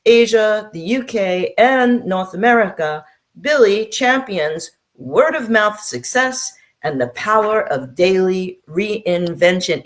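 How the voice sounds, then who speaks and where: A woman hosting an interview show, upbeat and encouraging as she asks her guest questions. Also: mid-range voice. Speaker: show host.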